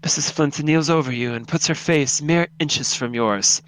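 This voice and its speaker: A man's voice: a little high, normal speed, fairly bright tone, clean tone, rough recording. A man narrating a second-person horror story in a dramatic, solemn, intense reading.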